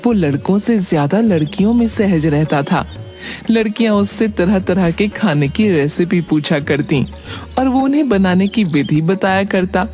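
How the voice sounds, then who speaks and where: A woman's voice: medium pitch, expressive, measured pace, mellow, soft background music. A woman reading scripted narration for a cartoon story.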